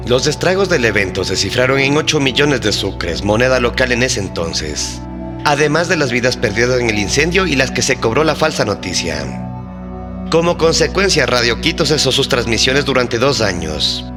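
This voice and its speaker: A man hosts and narrates a scripted mystery documentary. His mid-pitched voice tells the story in a formal, measured, dramatic way, and music plays clearly underneath. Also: sing-song. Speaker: narrator and host of a mystery channel.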